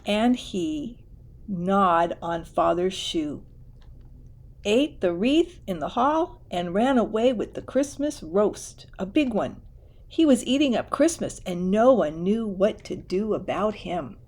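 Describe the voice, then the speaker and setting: A woman reading a children's picture book aloud in a deliberate, expressive storytelling way, occasionally correcting herself. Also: medium pitch.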